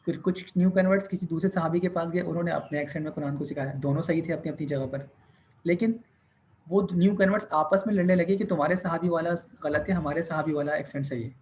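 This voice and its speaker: Man, classroom teacher, slow deliberate dictation, fairly high voice, faint background noise. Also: darkish tone. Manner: mixes English dictation with Hindi-Urdu explanation, slow and deliberate when dictating. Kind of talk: classroom lecture, dictating notes.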